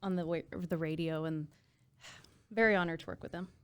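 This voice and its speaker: Clear-voiced American woman, slightly low. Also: fairly even intonation, fairly quick. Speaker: emergency dispatch supervisor and trainer, podcast guest. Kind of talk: casual interview storytelling.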